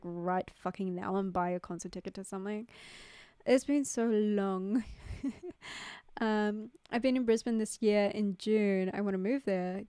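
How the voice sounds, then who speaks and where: A woman's voice: medium pitch, clean tone, no echo, clean studio sound. A young woman from New Zealand with a New Zealand accent, chatting casually with her viewers on a livestream, full of "like" and "um". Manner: chatty, many "like" and "um" fillers, laughs easily. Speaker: streamer. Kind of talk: casual livestream chat reading viewer messages. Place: home streaming setup in Queensland. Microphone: studio mic close up.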